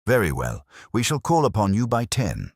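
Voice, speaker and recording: A man voicing an incisive Victorian detective in an audiobook, with formal, measured, probing questions. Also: fairly low pitch, wildly swooping pitch, creaky, darkish tone, breathy edge, no echo, clean studio sound, pitched above the performer's own voice, heavily acted. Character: Victorian male detective, incisive, intrigued and commanding.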